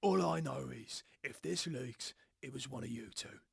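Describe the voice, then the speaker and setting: An actor playing a tense, defeated boss with a gravelly, husky voice in an audio drama, grim and defensive. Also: male voice, medium pitch, normal speed, no echo, clean studio sound, full character voice. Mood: stern resignation. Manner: grim, resigned, sternly defensive. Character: tense, defeated male boss guarding a terrible secret.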